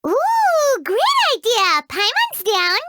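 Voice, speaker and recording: A squeaky, excitable fairy sidekick. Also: female voice, slow pace, very noisy, heavily acted. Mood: chirpy. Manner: speaks of herself in third person, excitable. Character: tiny floating female fairy companion, greedy and chatty. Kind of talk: studio game voice acting. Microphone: studio mic.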